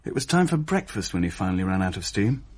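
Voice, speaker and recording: A man's voice: sits low, sing-song, quick pace, darkish tone. An actor playing a wry young English fiancé, telling his story conversationally in a radio play. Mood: wry, exasperated. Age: young adult. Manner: wry, matter-of-fact storytelling. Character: wry young fiancé recounting a chaotic haunted night.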